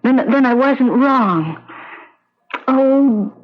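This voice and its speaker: A woman's voice: sits high, lively intonation, very slow, exaggerated voice. An anguished middle-aged wife in a radio play. She is regretful and devoted, hesitating and repeating words as she confides. The recording is muffled, vintage and lo-fi. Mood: troubled, pleading. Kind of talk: 1970s radio drama acting.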